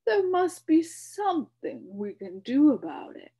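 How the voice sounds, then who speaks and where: A gloomy old king barks royal commands in a loud, regal voice, as a woman reading a storybook puts him on. The delivery is slow and sweeping, rising and falling dramatically. It keeps a mellow, rounded tone. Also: high voice, very animated, voice pushed up.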